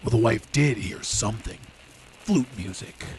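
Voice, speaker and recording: A man's voice: mid-range voice, a little slow, a little mellow, close to an everyday voice. A man playing a weary, dutiful police detective in an old-time radio drama. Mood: businesslike, brooding. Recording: clearly noisy, hissy background.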